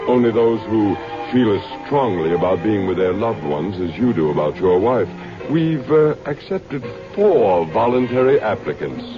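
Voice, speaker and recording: An actor playing a courteous, superior alien overseer in a radio drama, speaking with formal, precise phrasing. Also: male voice, lowish voice, sing-song, unhurried, few pauses. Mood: pleased. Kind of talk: mid-century radio drama acting. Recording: dull vintage radio recording with music.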